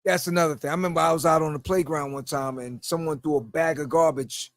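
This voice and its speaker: A man's voice: on the high side, fast talker, dry recording. Man, New York accent, middle-aged, podcast host, storytelling, laughs a lot, dry close sound. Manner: animated, laughs while telling stories; acts out quoted voices. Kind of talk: casual storytelling.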